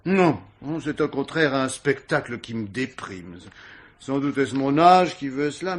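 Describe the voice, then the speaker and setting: An actor playing an urbane, witty, self-absorbed older gentleman of leisure in a radio mystery, speaking with mannered, ironic asides. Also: fairly high pitch. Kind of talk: vintage French radio mystery acting.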